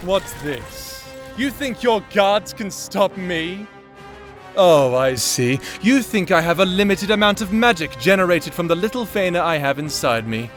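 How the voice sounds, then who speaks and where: An actor playing a vengeful, sadistic necromancer in an audio drama, taunting and gloating in a theatrical way. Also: male voice, high voice, expressive, unhurried, over music. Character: vengeful male necromancer, manic, gleefully sadistic escaped prisoner. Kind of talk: dramatic audio roleplay acting.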